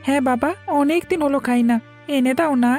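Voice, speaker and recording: A woman's voice: sits high, brisk, darkish tone, faint music behind. A woman voicing a stubborn, wheedling little boy in a cartoon, whiny and insistent. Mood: stubborn begging. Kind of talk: cartoon voice acting.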